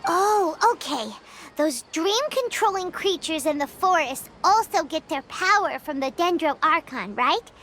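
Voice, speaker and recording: A woman voicing a tiny, excitable, childlike fairy companion in a video game, inquisitive and exclamatory, speaking of herself in the third person. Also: extremely high pitch, measured pace, a little hiss or hum, full character voice. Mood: thoughtful. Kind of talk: animated video game cutscene acting.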